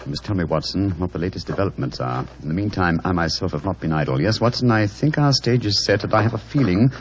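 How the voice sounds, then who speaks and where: A man's voice: deep voice, expressive, fast talker. A man acting a shrewd, confident, theatrical detective in 1940s radio style, with a British accent and grandly formal, wry delivery. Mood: dryly confident. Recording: noisy vintage radio recording.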